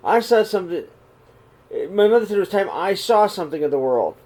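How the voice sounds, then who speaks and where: A middle-aged man reading a children's picture book aloud in a small room, slow and expressive. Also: high voice. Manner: reads slowly and expressively, voices the characters' calls. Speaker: storybook reader. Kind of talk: read-aloud storytelling for children.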